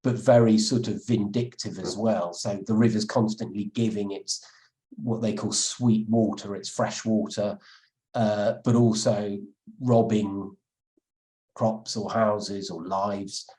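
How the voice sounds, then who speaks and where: A man with a lowish voice answers an interviewer's questions about his book. He speaks thoughtfully, often stalling on fillers and restarting his sentences. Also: fairly even intonation, slow, dark tone, poor audio. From England. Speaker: author interviewed as guest.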